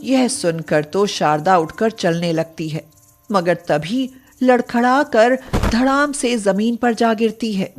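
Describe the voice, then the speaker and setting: Woman narrator, mid pitch, unhurried, expressive storytelling, light music underneath.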